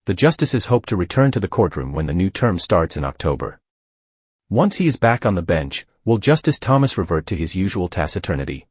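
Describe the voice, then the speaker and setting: A man with a low, husky voice narrates a news story in a scripted read, his intonation rising and falling with a lot of movement. The sound is close and dry, with no room around it. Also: big pitch swings, a little fast, darkish tone, no echo.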